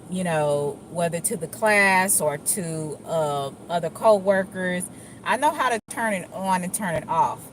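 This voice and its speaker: A middle-aged woman with a Dallas accent, chatting in a rambling, laid-back way with lots of fillers and restarts. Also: on the low side. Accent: Dallas, Texas. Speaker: vlogger. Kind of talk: casual chat while driving. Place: car. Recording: faint vehicle noise.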